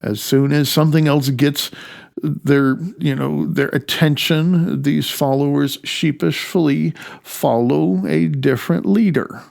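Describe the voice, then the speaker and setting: A man with a gravelly voice, narrating his own memoir as an audiobook, haltingly, sometimes stumbling over words. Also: on the high side, darkish tone. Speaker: author reading own audiobook.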